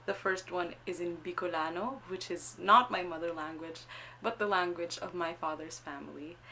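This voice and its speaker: Young woman, singer, introduces her set at a recital; gentle, explanatory, slightly low voice, faint hum behind. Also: rough recording. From Philippines. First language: Tagalog.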